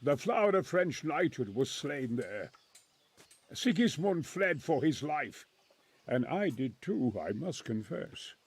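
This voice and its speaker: High, husky, anxious learned man. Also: very animated, takes it slowly, exaggerated voice. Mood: earnest. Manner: anxious, earnest, formal old-fashioned phrasing. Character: nervous, fearful learned military engineer, veteran crusader. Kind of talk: dramatic video game acting.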